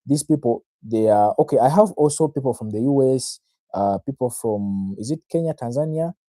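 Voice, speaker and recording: A man's voice: mid-range voice, moderate pace, warm tone, no room sound. A Ugandan man, a native Luganda speaker, hosting an informal live market analysis, self-assured, talking straight to viewers and repeating phrases to drive them in. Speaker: trader, livestream host.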